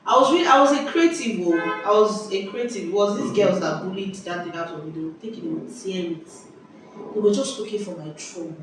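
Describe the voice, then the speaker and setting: A young Nigerian woman telling school stories in casual chat at home, lively and exclamatory, often breaking off mid-sentence. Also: mid-range voice, fairly quick. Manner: lively, exclamatory, self-interrupting. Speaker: vlogger. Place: indoors at home. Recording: echoey room, distant mic, faint hiss.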